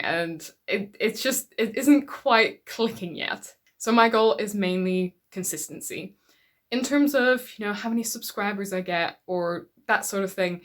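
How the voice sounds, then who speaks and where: A woman who is a native Dutch speaker talks casually in English, with a medium-pitched voice. She is a book YouTuber, chatty and reflective as she addresses her viewers. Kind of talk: casual talk to camera about reading.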